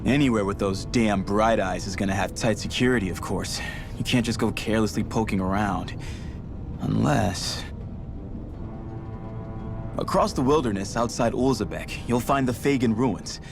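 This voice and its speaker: Male game rebel ally: wry, plain-spoken, lower pitch, music underneath. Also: normal speed, creaky. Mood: wry, advising. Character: plain-spoken, wry male resistance comrade giving advice. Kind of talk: video game cutscene voice acting. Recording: music behind the voice. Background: game soundtrack music.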